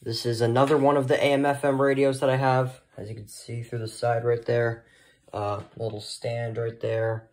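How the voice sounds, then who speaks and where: Man in a halting casual hobby vlog. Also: medium pitch, flat delivery, a little mellow. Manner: hesitant, halting, rambling delivery. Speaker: hobbyist vlogger showing a weather radio collection. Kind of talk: casual unscripted product showcase.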